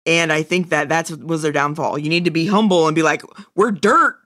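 A man with a slightly high voice chats as a podcast co-host. His manner is casual and playful, and the recording is dry. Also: brisk, sharp tone, no echo. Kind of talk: casual chat.

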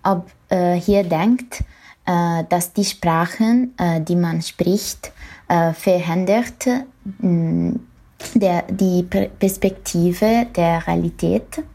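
A young Italian woman with a native Italian accent leaves a voice message, speaking very slowly and pausing often to search for words. Her voice sits low with a gravelly edge. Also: warm tone. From Italy. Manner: hesitant, many fillers, searching for words. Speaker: listener sending a voice message. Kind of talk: recorded voice message.

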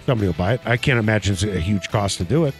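A man's voice: on the low side, racing, faint music behind. Blunt, passionate male sports radio host. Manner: blunt, passionate, says he has a loud voice. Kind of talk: casual sports radio banter. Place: radio studio.

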